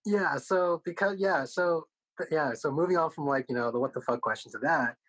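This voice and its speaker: A man's voice: fairly high pitch, moderate pace, a bit bright, low-quality recording. Korean-first-language guy as interview guest, super casual, jokes around, says like a lot. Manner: loose and joking, heavy use of "like" and "you know".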